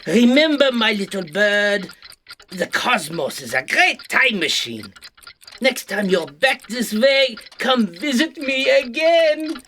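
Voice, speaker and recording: A woman's voice: on the high side, expressive, takes it slowly, fairly bright tone, a little hiss or hum. A woman playing a fond, theatrical old mentor space captain in a science-fiction audio drama. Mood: tender. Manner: theatrical, doting.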